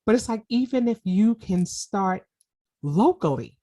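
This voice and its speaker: A woman's voice: mid-range voice, lively intonation, unhurried, warm tone. A middle-aged woman hosting a podcast interview, talking casually and affirming her guest, often repeating words and saying "you know". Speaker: podcast host.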